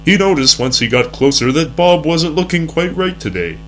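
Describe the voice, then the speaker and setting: A man's voice: on the high side, expressive, normal speed, a bit bright, a little hiss or hum. A young man reading scripted narration in the earnest, formal announcer style of a 1960s educational film. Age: young adult.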